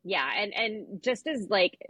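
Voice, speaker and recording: A woman's voice: medium pitch, lively intonation, unhurried, sharp tone, breathy edge, no echo. A woman co-hosting a podcast, talking about sports in an informal, conversational, emphatic way. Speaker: podcast co-host. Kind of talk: casual sports chat.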